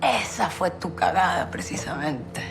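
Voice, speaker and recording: A ruthless female cartel boss with a Mexican accent for a war game. She is smug and unrepentant, speaking in a fairly low, taunting voice. Music plays behind her. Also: animated, moderate pace, gravelly, in a whisper. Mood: scornful, menacing. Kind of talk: cinematic video game acting.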